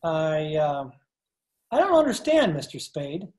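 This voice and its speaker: Actress as mob lawyer: polite, evasive, lower register, formal old radio drama delivery. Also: female voice, big pitch swings, a little slow, darkish tone. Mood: flustered. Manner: formal, courteous, hesitant. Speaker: amateur radio play actor. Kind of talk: 1940s radio crime drama acting. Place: at home, recorded over video call.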